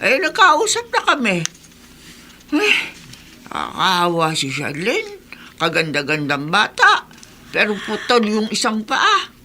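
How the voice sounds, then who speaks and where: A woman's voice: mid-range voice, huge pitch swings, a little slow, pauses often, pushed and bright, a little hiss or hum, exaggerated voice. An actress playing a gossipy, prejudiced older neighbour woman in a radio drama, with a Filipino accent and a chatty, exclamatory manner.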